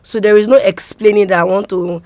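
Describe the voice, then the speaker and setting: A young adult woman with a Nigerian accent, talking casually as an interview guest and telling stories in an animated way. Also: medium pitch, a little fast, no room sound.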